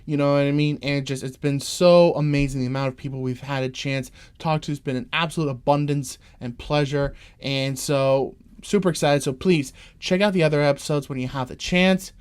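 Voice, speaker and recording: A man's voice: a little high, fairly even intonation, brisk, few pauses, a little mellow, clean tone. A Canadian man hosting a podcast, eager and rambling with plenty of fillers. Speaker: podcast host. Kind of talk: enthusiastic podcast intro and interview.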